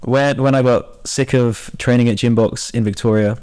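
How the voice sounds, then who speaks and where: A man's voice: medium pitch, fairly even intonation, moderate pace, darkish tone. A man in his twenties chatting casually as a podcast co-host. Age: 20s. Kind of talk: casual chat.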